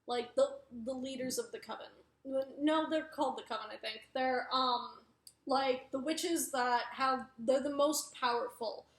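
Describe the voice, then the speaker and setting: A woman's voice: sits high, measured pace. A young woman with a mixed Wisconsin, New York and Arizona accent, casually chatting through a book review in a rambling way, correcting herself and filling gaps with "like". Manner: rambling, self-correcting, many fillers. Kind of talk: casual chat. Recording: echoey room.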